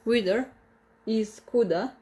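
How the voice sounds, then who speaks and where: A woman with a Croatian accent, a native Croatian speaker, teaching a live online language lesson, explaining slowly and carefully with many restarts and repeated examples. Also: on the high side, mellow.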